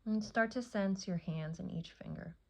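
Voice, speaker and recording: A woman leading a guided meditation as a yoga nidra teacher, speaking in a soothing, unhurried way with long pauses between short phrases. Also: mid-range voice, vocal fry, darkish tone, rough recording.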